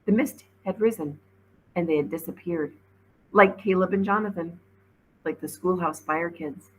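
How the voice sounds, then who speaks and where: A woman's voice: fairly low pitch, normal speed, slight background noise, rough recording. A woman, a teacher, reading a children's novel aloud as narrator. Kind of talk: storybook read-aloud narration.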